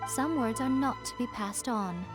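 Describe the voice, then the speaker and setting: A woman's voice: fairly high pitch, unhurried, over music, natural voice. A woman voicing a teasing, self-assured female shrine keeper in a video game, with a playful, haughty, theatrical manner. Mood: sly teasing. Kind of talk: video game dialogue acting.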